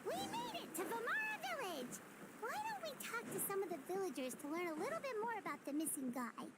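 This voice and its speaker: A woman voicing a tiny, excitable female fairy companion who is chirpy and nosy, with game music behind. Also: very high-pitched, fast talker, over a noisy crowd. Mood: chirpy curious. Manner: chirpy, nosy, refers to herself by name. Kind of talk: game cutscene voice acting.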